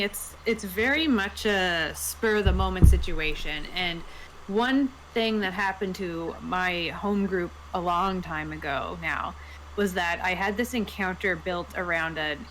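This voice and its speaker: A woman's voice: fairly low pitch, a little slow, a bit bright, a little hiss or hum, poor audio. A woman game master telling an informal anecdote in a casual panel chat.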